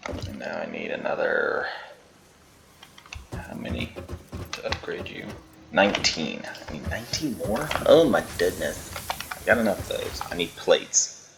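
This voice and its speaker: A man's voice: sits low, very animated, measured pace, vocal fry, long pauses, noisy background. A man giving casual, chatty commentary while he plays a video game, laughing often. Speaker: gameplay creator.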